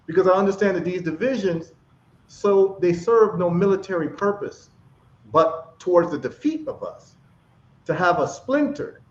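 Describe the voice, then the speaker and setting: A man's voice: high voice, animated, moderate pace. A man from Ghana, a guest in a casual chat, talking with passion and repeating phrases for emphasis. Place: live stream call. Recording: echoey, dull lo-fi stream audio.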